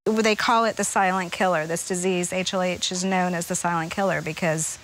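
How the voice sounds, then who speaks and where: A woman's voice: on the low side, fairly even intonation, moderate pace, fairly bright tone, slight background noise, low-quality recording. A woman giving a personal account as an interviewee in a news story. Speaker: interviewee, patient's mother.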